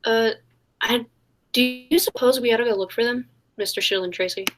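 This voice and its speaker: High-voiced woman as earnest heroine in peril. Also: moderate pace, fairly bright tone, natural voice. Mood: nervous. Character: earnest young female detective's sweetheart in peril. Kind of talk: 1940s radio play acting.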